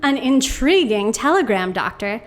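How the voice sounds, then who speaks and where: An actress playing an eager, chatty radio announcer prompting the storyteller. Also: female voice, a little high, sing-song, moderate pace, soft background music. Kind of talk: old-time radio announcer interview.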